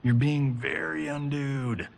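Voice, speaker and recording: A breezy cocky hollywood dude, mid pitch, slow and drawly, teasing, comic game scene. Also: male voice, fairly even intonation, gravelly. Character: laid-back, cocky, teasing male Hollywood type. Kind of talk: comic video game cutscene acting.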